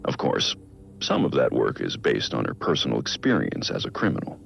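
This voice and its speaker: An actor playing a brooding billionaire vigilante, recording a measured, reflective personal audio log in a game. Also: male voice, big pitch swings, full character voice. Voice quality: low gravelly voice. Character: brooding billionaire vigilante, man in his prime.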